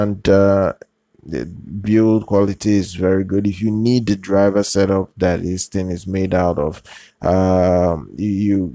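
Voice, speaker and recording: Deep flat-voiced guy rambling thru product news, lots of uhs and restarts, dry sound. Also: no room sound. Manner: halting, with many fillers, restarts and repeated phrases. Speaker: channel host. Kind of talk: casual product news talk.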